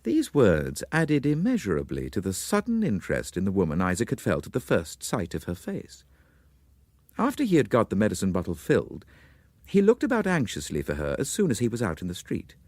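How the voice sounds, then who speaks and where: A man narrating a literary story in a dramatic, measured and formal manner. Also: lowish voice, huge pitch swings, a little mellow, dry recording, studio quality.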